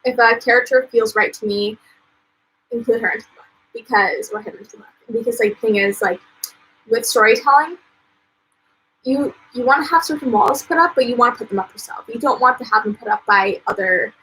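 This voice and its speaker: A young American woman, an author, chatting casually on a live stream, full of "um"s and "like"s and quick laughs. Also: a little high, bright, forceful tone, a little hiss or hum, rough recording. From United States. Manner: chatty, lots of "um" and "like", laughs often. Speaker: author and vlogger.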